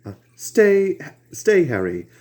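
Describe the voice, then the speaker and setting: A man voicing an earnest male painter, gently coaxing his friends in a lifted voice. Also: sits high, sing-song, slow, pitched above the performer's own voice, exaggerated voice.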